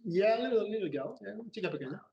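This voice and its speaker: Spanish guy, pretty high voice, easygoing and jokey podcast guest. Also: lively intonation, fast talker, gravelly, darkish tone, recorded from a distance, muffled recording. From Barcelona, Spain. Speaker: podcast guest, dance instructor. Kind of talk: casual chat.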